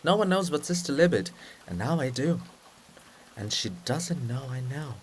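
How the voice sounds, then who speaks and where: A man's voice: medium pitch, animated, normal speed, slight background noise, natural voice, rough recording. A man voicing a scheming, fussing male statue of a statesman in a play reading, with a wheedling, explanatory way of talking. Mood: scheming, conspiratorial.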